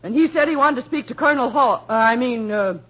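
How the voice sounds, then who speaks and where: An actress playing a brusque housekeeper who used to be an army sergeant's wife and barks military jargon, in 1940s radio acting on a dull old broadcast recording. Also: female voice, on the high side, brisk, a bit bright, breathy edge. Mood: barking, brisk.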